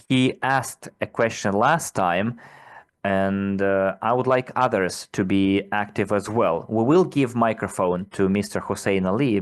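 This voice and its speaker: A man hosting a live broadcast Q&A, answering questions in a direct, firm and brisk way. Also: on the low side. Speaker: company presenter hosting a live Q&A.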